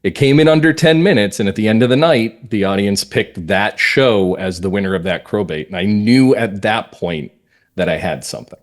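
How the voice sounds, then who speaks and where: Male interview guest, American, lowish voice, measured phrases with firm emphasis, conversational delivery. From northern Massachusetts, US. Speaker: guest playwright and comedy writer.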